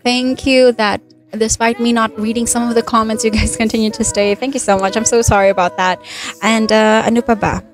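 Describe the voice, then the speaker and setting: A young Filipino woman hosting a livestream from a living room, talking fast and slipping Tagalog words into her English. Her voice sits high but sounds a little hoarse. She speaks into a handheld mic, with backing music playing loudly behind her. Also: level tone. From Philippines. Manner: quick, chatty, mixes in Tagalog words. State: slightly hoarse. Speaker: livestream singer and host. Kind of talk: casual livestream chat between songs. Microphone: handheld microphone.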